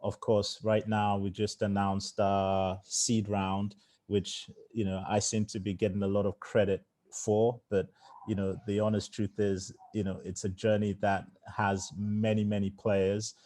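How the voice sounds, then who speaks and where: A man who grew up in England, a startup founder speaking casually in a fireside chat, with many fillers. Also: measured pace. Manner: conversational, lots of fillers, low flat voice.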